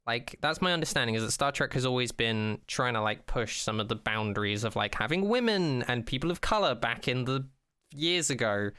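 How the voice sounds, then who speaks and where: A man co-hosting a podcast, chatting casually and opinionatedly, acting out mock quotes in an animated way and swearing freely. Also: mid-range voice, fairly quick, clear, resonant tone. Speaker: podcast co-host. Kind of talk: casual chat, opinionated rant about a TV show.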